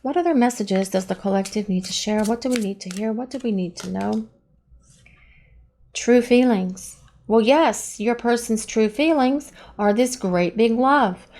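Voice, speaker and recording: A woman giving a chatty, earnest tarot love reading, her voice hoarse and partly lost to allergies. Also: on the high side, mellow. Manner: earnest, chatty, pleading questions to the cards. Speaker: tarot reader.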